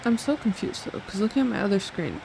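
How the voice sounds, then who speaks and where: A woman live-streaming a game playthrough, giving casual, expressive, exclaiming reactions with informal swearing. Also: medium pitch, a little fast, vocal fry, slight background noise, low-quality recording.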